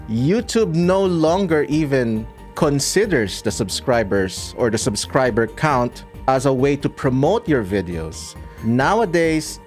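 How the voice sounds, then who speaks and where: A man from the Philippines whose first language is Tagalog, a YouTube creator answering viewer comments in a dry, measured way. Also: medium pitch. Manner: dry, measured, pauses edited out. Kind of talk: casual commentary to camera.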